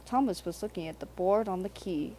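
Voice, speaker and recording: A woman's voice: medium pitch, fairly quick, warm tone, slight background noise. A woman narrating a children's storybook aloud from a script.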